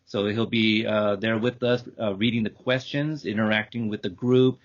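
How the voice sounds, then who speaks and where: A man hosting a podcast, talking casually and off the cuff in a halting, rambling way. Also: on the low side, steady tone. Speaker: podcast host. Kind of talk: casual, unscripted show wrap-up. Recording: dull, lo-fi call audio.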